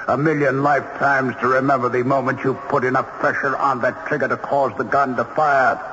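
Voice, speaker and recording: An actor playing a grave, compassionate male ghost in a radio drama, speaking with deliberate theatrical weight. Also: mid-range voice, little pitch movement, bright, forceful tone, natural voice. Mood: stern, foreboding. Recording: echoey, muffled, noisy old radio recording.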